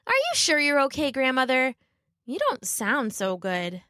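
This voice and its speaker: A cheerful, well-mannered little girl from a storybook, voiced by a woman, high and earnest. Her lines bounce with animated pitch, and the sound is dry and close. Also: unhurried, no echo, full character voice. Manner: bright, earnest and polite.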